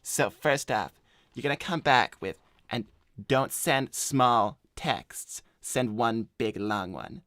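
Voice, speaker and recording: A man's voice: mid-range voice, slow pace, a bit bright, dry recording, clean studio sound. A man voicing a cocky, broke hedgehog slacker in an improvised cartoon livestream, casual, blunt and self-assured. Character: cocky, broke male hedgehog slacker giving shady advice. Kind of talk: improvised in-character livestream chat.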